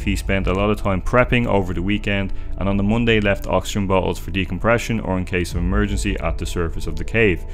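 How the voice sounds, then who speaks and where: A young man from Dublin with a Dublin Irish accent, narrating a true story in an earnest, chatty way from a small room at home. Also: lowish voice. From Dublin, Ireland. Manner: earnest, chatty commentary with restarts. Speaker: YouTube storyteller. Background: faint music.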